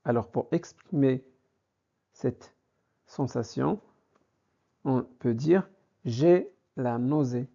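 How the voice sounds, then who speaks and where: A man teaching a vocabulary lesson, speaking deliberately and repeating each phrase for learners. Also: medium pitch, gravelly, dark tone, slightly rough. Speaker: French teacher. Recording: dull lo-fi recording.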